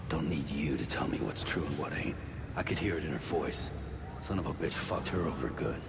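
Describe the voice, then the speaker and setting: Very deep gravelly gruff man. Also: lively intonation, a little fast, exaggerated voice. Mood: irritated, bitter. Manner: gruff, terse, colloquial. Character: gruff, defiant male courier, curt and distrustful. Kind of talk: video game cutscene acting. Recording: echoey reverb, clear background noise.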